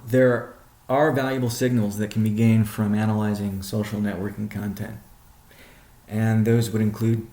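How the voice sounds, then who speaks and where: A man speaking as a company chief executive on a conference call, answering questions in a measured, deliberate, halting way. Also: lowish voice, creaky, dark tone, roomy sound.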